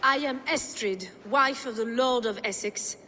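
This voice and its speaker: A woman's voice: on the high side, a little slow, in a large hall, background noise, close to an everyday voice. A woman playing a proud, disdainful Frankish noblewoman in a game cutscene, speaking with weary formality. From Francia. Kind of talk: dramatic game cutscene acting.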